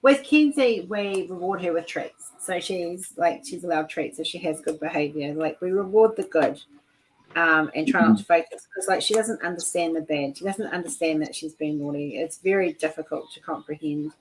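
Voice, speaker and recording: A low-voiced woman chatting on a video call, full of restarts and "like"s as she tells stories. Her tone is relaxed and conversational. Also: normal speed, a little hiss or hum, poor audio. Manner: chatty, storytelling, frequent "like" and restarts. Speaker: livestream host. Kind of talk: casual chat.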